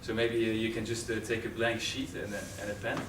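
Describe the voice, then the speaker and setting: Young Dutch man presenting live, halting and filler-heavy; recorded from far back in a roomy, noisy training room. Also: lowish voice, level tone. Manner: hesitant, many fillers and restarts. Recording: roomy, distant camera audio with noise.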